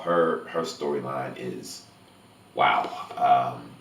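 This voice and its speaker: A man's voice: a bass voice, slight background noise. A man giving a casual, enthusiastic film review to camera, gushing and emphatic, often repeating words for emphasis. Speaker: movie review vlogger. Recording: roomy echo, distant from microphone.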